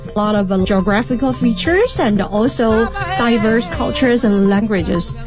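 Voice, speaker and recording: A woman's voice: on the high side, animated, unhurried, over music. A woman with a Chinese accent hosting a conversational radio interview. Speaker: radio show host.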